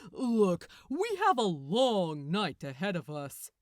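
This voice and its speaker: A woman's voice: fairly low pitch, very animated, slow pace, dry recording, studio quality. A woman voicing a smug, impatient male angel with a brash, sarcastic, exasperated manner in a storybook reading. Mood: impatient, bossy.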